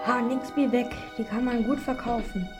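A female performer as a shifty gardener, highish voice. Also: fairly even intonation, normal speed, over music, lightly acted. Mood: gleeful, sly. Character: shifty male gardener who turns thief. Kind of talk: amateur children's radio play.

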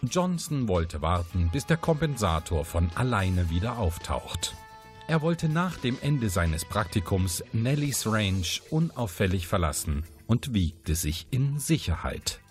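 Deep lively narrator over faint music. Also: male voice, measured pace. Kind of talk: scripted narration of the case solution.

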